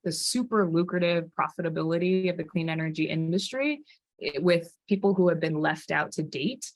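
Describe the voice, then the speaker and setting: A woman's voice: low-pitched, no room sound. A woman from Hawaii speaking earnestly and passionately as a panelist, often restarting her sentences. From Hawaii, USA. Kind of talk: panel talk.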